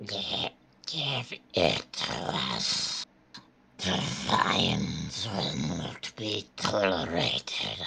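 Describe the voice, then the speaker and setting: Hushed, gravelly, menacing rat monster. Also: male voice, deep voice, expressive, heavily acted. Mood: slow menace. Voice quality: hushed, hoarse growl. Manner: slow, drawn-out, ominous delivery. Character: menacing monstrous rat creature leader, hungry and threatening.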